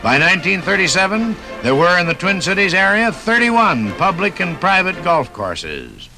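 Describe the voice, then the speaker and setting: An American man narrates a scripted 1950s radio program. His voice sits a little high and swings with lively intonation. Music runs with the broadcast. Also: moderate pace, poor audio. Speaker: radio host and sponsor announcer.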